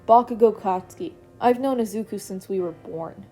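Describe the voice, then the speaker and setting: Cast: woman reading as a brash teen boy, blunt and loud, mellow tone. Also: medium pitch, normal speed, faint music behind, natural voice. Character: brash teenage schoolboy.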